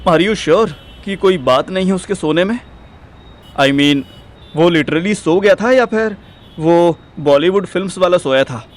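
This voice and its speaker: A man's voice: high-pitched, unhurried. A man playing a hot-headed, jealous, teasing young boyfriend in an audio drama, with an Indian accent and exclamatory delivery that mixes in English. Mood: suspicious. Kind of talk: Hindi audio drama acting. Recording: music behind the voice.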